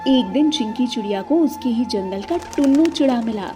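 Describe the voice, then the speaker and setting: Female storybook narrator: high pitch, soft mellow tone, scripted delivery, music clearly behind. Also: animated, moderate pace.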